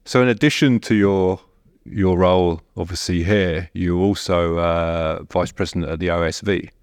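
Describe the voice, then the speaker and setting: A man hosting an interview, asking long, measured questions. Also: low-pitched, fairly even intonation, dry recording, clean studio sound. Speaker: podcast host, interviewer.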